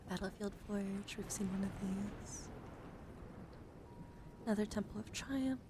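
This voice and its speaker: A woman live-streaming ASMR, chatting casually and playfully to viewers in a voice that drifts between soft speech and hushed whispering. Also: mid-range voice, slow pace, pauses often. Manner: playful, giggly, easily sidetracked. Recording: clearly audible background noise.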